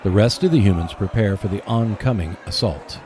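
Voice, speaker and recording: A man's voice: lowish voice, fairly quick, a little mellow, a little hiss or hum. A man narrating a scripted radio play as host, in a dramatic storytelling manner.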